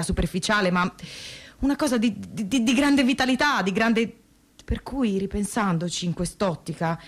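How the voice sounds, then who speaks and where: An actress plays a thoughtful woman giving dramatic testimony about family memory. She speaks haltingly and reflectively, searching for each word. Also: mid-range voice, close to an everyday voice. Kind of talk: dramatic staged reading of testimony.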